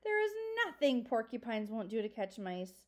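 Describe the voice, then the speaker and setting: A woman voicing a stout, stern deer mouse father who lectures gravely and self-importantly in a storybook reading. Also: fairly high pitch, sing-song, normal speed, a little mellow.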